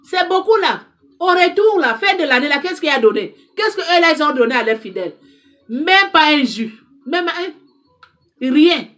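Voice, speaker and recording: A woman's voice: sits high, very animated, unhurried, a bit bright, faint music behind. A woman speaking French as a second language, giving an impassioned direct-to-camera address as an advice counselor, emphatic and repetitive as she exhorts her listeners. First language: not French.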